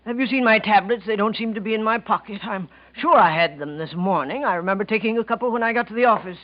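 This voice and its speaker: An American actor playing a meek, fussy office man turned smug killer in a 1940s radio mystery, speaking formally and deliberately. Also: high-pitched, lightly acted. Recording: dull vintage 1940s radio recording.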